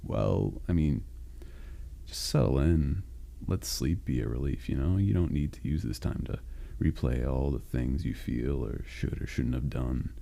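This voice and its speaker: A gravelly, gentle sleep demon. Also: male voice, full character voice. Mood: soothing. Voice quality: deep gravelly growl. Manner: soft, reassuring, halting and unhurried. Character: caring, supportive male sleep paralysis demon. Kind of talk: comforting roleplay monologue.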